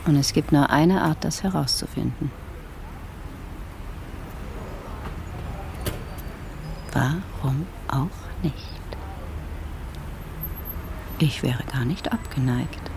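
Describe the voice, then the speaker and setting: An actor playing a self-absorbed, fastidious traveller in a radio drama monologue, coolly sizing people up. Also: male voice, a little high, creaky, noisy background. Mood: musing.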